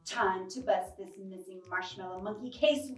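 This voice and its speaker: A woman's voice: medium pitch, moderate pace, far from the mic, close to an everyday voice. A woman voicing a hard-boiled cat detective who narrates a storybook case in a dramatic, clipped noir manner. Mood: determined. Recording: roomy, off-mic, music behind.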